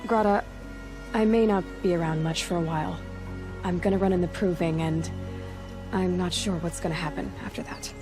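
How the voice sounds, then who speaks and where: An actress playing a defiant, wry young outcast huntress in a video game, speaking in a dry, sardonic way. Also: female voice, fairly low pitch, fairly even intonation, normal speed, music in the background, lightly acted. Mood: earnest, resigned. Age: young adult. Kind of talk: video game dialogue acting.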